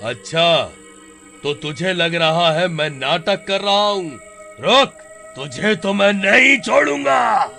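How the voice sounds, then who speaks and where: A man's voice: high voice, animated, slow, vocal fry, pushed and bright, soft background music, full character voice. A man playing a greedy, bloodthirsty vampire demon in a horror-comedy story, gloating and menacing.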